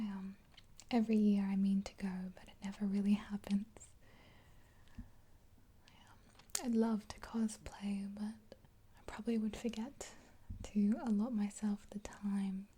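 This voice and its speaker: A woman in her twenties whispering a gentle ASMR roleplay in a breathy, unhurried, hesitant voice, close to the mic. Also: medium pitch. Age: 20s. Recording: hissy close recording with clicks.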